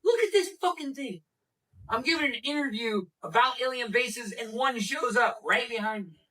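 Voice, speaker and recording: A man with a high, bright, forceful voice narrates a vlog out on location. He is emphatic and sweary, and sounds well away from the camera microphone. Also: animated, normal speed, recorded from a distance, rough recording.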